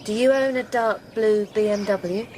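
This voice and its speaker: A woman plays a brisk detective constable in a naturalistic radio drama. Her medium-pitched voice is clipped and probing. Vehicle noise is clearly audible behind her. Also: darkish tone, lightly acted. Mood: firm, careful.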